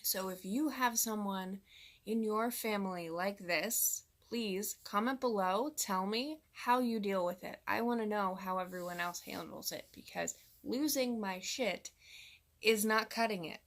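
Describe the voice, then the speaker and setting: A woman in her thirties speaking as a mom vlogger in a direct-to-camera monologue. She vents candidly and sounds exasperated. Her pitch sits in an ordinary middle range. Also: a little slow. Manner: exasperated, candid venting to viewers.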